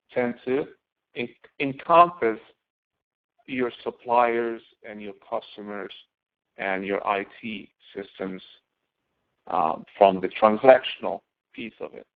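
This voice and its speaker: A man instructor giving an informal, explanatory lecture, emphatically repeating key phrases for stress. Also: mid-range voice. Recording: thin phone-like narrowband audio.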